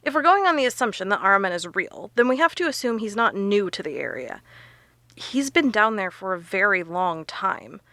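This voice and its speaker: Sharp researcher lady, bright voice, explains stuff with punch, audio drama, really dry sound. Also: medium pitch, big pitch swings, moderate pace, no echo. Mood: explaining, intent. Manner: emphatic, explanatory.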